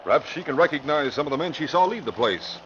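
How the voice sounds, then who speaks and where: A man's voice: on the high side, animated, fast talker, natural voice. A man playing a flustered police commissioner. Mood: earnest. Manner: earnest, dismayed. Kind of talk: 1940s radio acting. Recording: muffled old radio broadcast with faint hiss.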